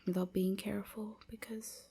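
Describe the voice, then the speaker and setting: A woman playing a timid, submissive vampire slave in an intimate audio roleplay, hesitant and stammering, with a soft, whispery, apologetic delivery. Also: medium pitch, little pitch movement, moderate pace. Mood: sad, subdued. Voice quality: soft, breathy, often whispery. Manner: hesitant, stammering, hushed and breathy.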